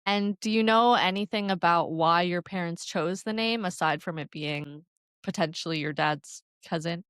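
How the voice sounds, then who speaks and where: A woman's voice: fairly low pitch, moderate pace, fairly bright tone, clear, resonant tone, no room sound, clean studio sound. A woman interviewing a guest in a casual conversation, asking curious follow-up questions.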